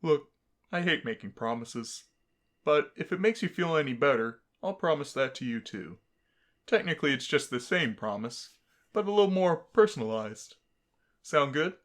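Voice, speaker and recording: A man's voice: on the high side, animated, moderate pace, a bit bright, voice pushed up. A man voicing a laid-back, joking male skeleton in a dating game, with a casual, wisecracking manner. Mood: earnest, reassuring.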